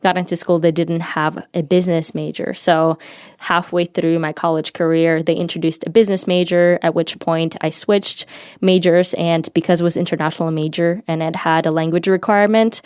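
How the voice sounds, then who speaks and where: Young woman from Ukraine, smooth low voice. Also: steady tone, normal speed, a little mellow, no echo, studio quality. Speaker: guest. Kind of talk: casual interview talk.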